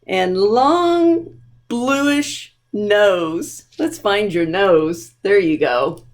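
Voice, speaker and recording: A woman's voice: medium pitch, lively intonation, drawn-out words. A woman hosting a storytime for young children, talking playfully and directly to them as a library presenter. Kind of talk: children's storytime reading and chat.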